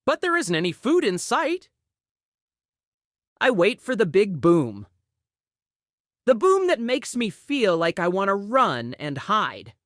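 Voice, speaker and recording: A woman reads a children's story aloud with a lively, swooping delivery. She takes her time over the lines and performs them expressively. The sound is close and dry. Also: medium pitch, slow pace, pauses often, no room sound. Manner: expressive, acting out exclamations and sound words. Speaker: audiobook reader.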